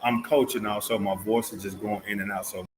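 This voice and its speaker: A man hosting an interview, slightly hoarse, upbeat and stumbling over words. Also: on the low side, steady tone, a little fast. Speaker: host.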